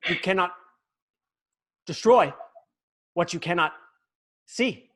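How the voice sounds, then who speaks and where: An actor reading a mischievous younger brother who speaks with mock solemnity. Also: male voice, sits high, slow, fairly bright tone, full character voice.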